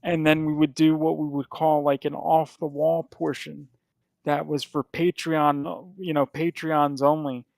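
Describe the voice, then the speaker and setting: A man's voice: fairly high pitch, flat delivery, measured pace, gravelly, a little mellow, no room sound. A man hosting a podcast, chatting casually in a rambling, profane way with frequent restarts. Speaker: podcast host. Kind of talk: casual chat.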